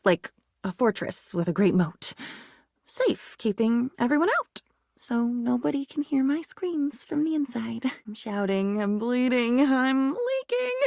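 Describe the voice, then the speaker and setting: A woman's voice: a little high, vocal fry, darkish tone, no echo, studio quality, heavily acted. A woman voicing an anxious, lonely living diary in a video game, rambling and disjointed, lurching between moods and volumes in a theatrical way. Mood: anguished, desperate.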